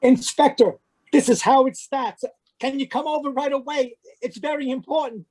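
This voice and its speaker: A woman's voice: high voice, fairly even intonation, normal speed, mellow, lightly acted, low-quality recording. A woman playing an anxious husband protesting his innocence in old-time radio mystery style. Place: live video call at home. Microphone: video call.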